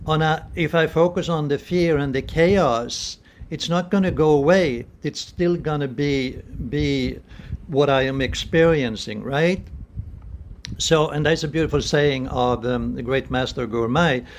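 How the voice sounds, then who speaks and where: Man in his seventies, unhurried pace, slightly high pitch, earnest, pausing, reflective spiritual talk, phone recording indoors. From Sweden. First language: Swedish. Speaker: spiritual vlogger. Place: small room indoors. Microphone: phone at arm's length.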